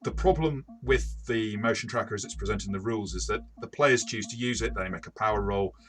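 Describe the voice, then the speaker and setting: A man hosting a casual game review discussion, talking haltingly with repeated words and chuckling as he goes. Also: lowish voice. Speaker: host, game master reviewing tabletop RPGs.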